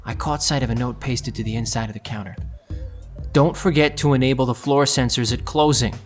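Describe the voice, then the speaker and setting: A man's voice: medium pitch, brisk, close to an everyday voice. Determined young thief narrating over music , acted by a man. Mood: narrating, tense. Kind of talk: audio drama narration and dialogue. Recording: music under the voice.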